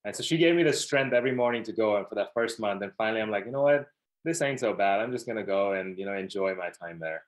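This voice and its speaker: A man's voice: lowish voice, expressive, fast talker. A man in his thirties from Dubai, a podcast guest telling his life story in a loose, rambling way with many fillers. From Dubai, Middle East. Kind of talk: casual storytelling interview.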